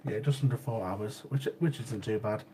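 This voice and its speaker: A man's voice: lowish voice, normal speed, dark tone, slight background noise. Sniffly, chatty man. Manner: chatty, repeats himself, laughs easily. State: sniffly with a cold. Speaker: livestream host. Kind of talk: casual chat with live chat viewers.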